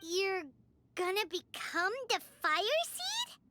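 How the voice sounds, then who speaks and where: A woman voicing a small, childlike, excitable fairy companion in a video game, with a squeaky, piercing voice and an anxious, exclamatory manner. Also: slow pace, full character voice. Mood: distressed pleading. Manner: exclamatory, refers to herself in third person. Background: music.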